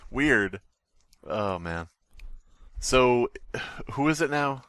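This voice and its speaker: A man's voice: mid-range voice, animated, very slow, a bit bright, clear, resonant tone, dry recording, studio quality. A man co-hosting a podcast in casual chat, reading stories aloud and commenting with wry skepticism. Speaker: podcast co-host.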